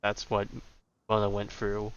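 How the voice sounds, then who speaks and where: A man's voice: lowish voice, monotone, measured pace, clear, resonant tone. A man giving casual, offhand commentary as he streams a game, with wry reactions. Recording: dull, muffled lo-fi microphone. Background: game music.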